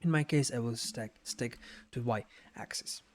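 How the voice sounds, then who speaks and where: A man giving an unscripted software tutorial in a halting way, repeating words and adding little checking tags as he explains each step. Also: mid-range voice, slight background noise. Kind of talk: unscripted screen-share tutorial narration.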